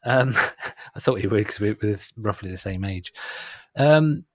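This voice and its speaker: Middle-aged male podcast host, husky and fairly low, dry close sound, wry and offhand. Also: expressive, measured pace, no echo. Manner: wry, dismissive asides on cover songs. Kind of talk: casual chat.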